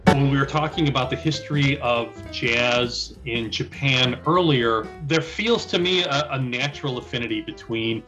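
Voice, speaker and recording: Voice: medium pitch, moderate pace, hardly any pauses, loud music behind the voice, rough recording. An interview host musing reflectively, with slight halts, before handing back to the guest.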